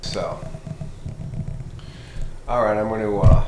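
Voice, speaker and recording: A man's voice: on the low side, fairly even intonation, slow pace. A man giving a casual, rambling hands-on product review in a laid-back, folksy way, like a hobbyist. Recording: echoey room, noticeable background hiss.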